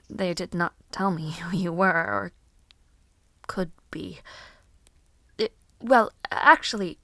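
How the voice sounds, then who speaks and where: Casting: actress for young highborn lady in intimate fantasy audio drama; soft, formal, flustered but kind, unhurried delivery, medium pitch. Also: dry recording. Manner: soft-spoken, hesitant, halting, politely formal. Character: gentle young noblewoman, caring, flustered fantasy rescuer.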